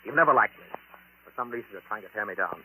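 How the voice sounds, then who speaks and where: A man playing an obsessive, resentful middle-aged psychology professor in 1940s radio drama, insistent and halting on a vintage recording. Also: on the low side, sharp tone, a little hiss or hum, low-quality recording. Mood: seething, threatening. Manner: insistent, halting, intense delivery.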